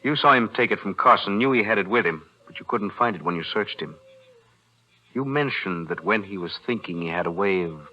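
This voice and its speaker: An actor playing a calm, shrewd attorney with a measured, authoritative manner in a 1940s radio thriller. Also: male voice, on the low side. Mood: calm, probing. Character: calm, shrewd male attorney investigating a murder. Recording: dull 1940s radio recording.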